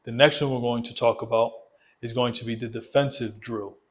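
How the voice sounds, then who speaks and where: A man's voice: medium pitch, steady tone, a little fast. A man coaching a boxing workout, explaining it methodically, step by step, in an instructional style.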